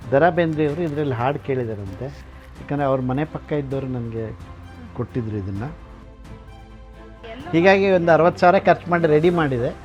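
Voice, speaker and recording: A man with a slightly high-pitched voice talks as a collector showing what he owns. He explains and tells stories in a conversational way, dropping in English words. The sound is a little dull and lo-fi, with soft music underneath. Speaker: radio collector and museum keeper. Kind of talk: informal explaining and storytelling about a collection.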